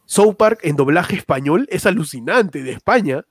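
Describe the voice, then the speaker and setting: A man's voice: a little high, expressive, normal speed, dry recording, clean studio sound. A man chatting casually about stand-up comedy, rambling, restarting often and using lots of slang. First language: not Spanish.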